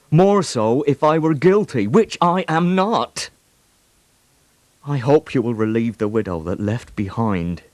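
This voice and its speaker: A man giving a solemn, measured dramatic reading of historical letters and accounts. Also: mid-range voice, no room sound. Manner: slow, solemn, measured delivery.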